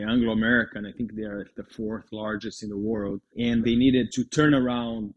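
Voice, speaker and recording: A middle-aged man from Brazil with a Brazilian Portuguese accent, answering interview questions in a measured, explanatory way. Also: medium pitch. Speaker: guest, mining entrepreneur.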